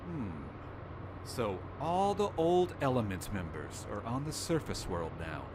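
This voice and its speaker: A man playing a thoughtful, composed doctor in a fan radio play, musing and measured. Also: mid-range voice, big pitch swings. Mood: pondering. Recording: noisy background ambience.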